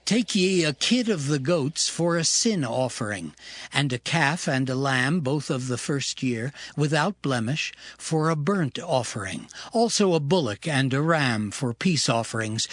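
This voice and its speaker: Higher-voiced, animated biblical leader. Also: male voice, no echo. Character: solemn biblical leader proclaiming sacrificial commands. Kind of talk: dramatized scripture reading.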